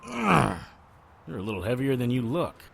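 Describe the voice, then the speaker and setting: A man playing a hard-boiled occult detective in an audio drama, narrating and talking in a dry, sardonic way with a husky voice. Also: mid-range voice, sing-song, normal speed, a bit bright, slight background noise, exaggerated voice. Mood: wry. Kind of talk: first-person noir narration and dialogue in audio drama.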